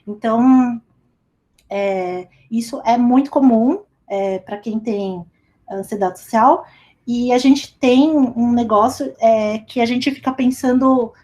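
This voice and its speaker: A woman's voice: a little high, takes it slowly, mellow. A young woman giving a casual talk over a remote call, chatty and full of fillers as she tells a personal story. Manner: chatty, lots of fillers like "sabe" and "tipo". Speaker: speaker at a company talk. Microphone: remote call recording.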